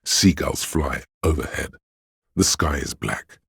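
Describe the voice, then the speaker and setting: A man playing a grave, all-knowing inner voice of police camaraderie in a video game, narrating in a solemn, measured, literary way with a gravelly, husky tone. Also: extremely low pitch, a bit bright, no echo, exaggerated voice. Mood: brooding, measured.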